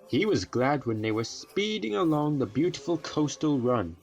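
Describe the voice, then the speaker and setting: A man's voice: a little high, very animated, normal speed, lo-fi sound. A man narrating a children's railway cartoon as a scripted storybook tale.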